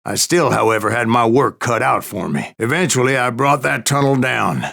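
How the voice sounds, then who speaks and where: A grizzled old gunslinger narrating a western game. His mid-pitched male voice is rough and crackling with gravel, carried by an American Western drawl. He spins his boastful tales in a rambling, folksy and theatrical way. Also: normal speed, fairly bright tone. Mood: boastful, recounting. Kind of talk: dramatic first-person storytelling narration for a western game.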